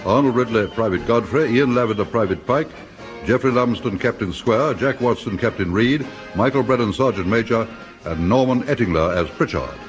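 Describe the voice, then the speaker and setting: A man reading scripted closing credits as a radio announcer, formal and measured. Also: mid-range voice, lively intonation. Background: theme music.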